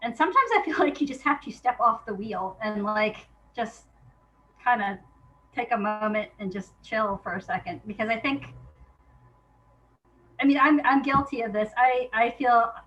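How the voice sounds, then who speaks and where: A woman talking casually and reflectively as an interview guest, in an informal, halting way, thinking aloud as she goes. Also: fairly high pitch, fairly bright tone, highs cut off.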